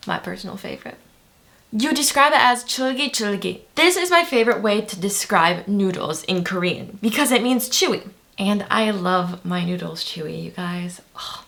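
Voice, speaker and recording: A woman's voice: medium pitch, a bit bright. A woman presenting a chatty, playful video lesson, speaking straight to her viewers. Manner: chatty, playful, addresses viewers as "you guys". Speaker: language teacher.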